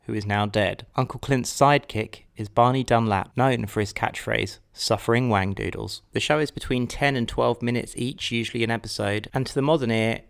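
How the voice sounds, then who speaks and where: Male podcast host, crisp clean voice, mid-range, reading scripted personal narration. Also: mid-range voice, normal speed, few pauses.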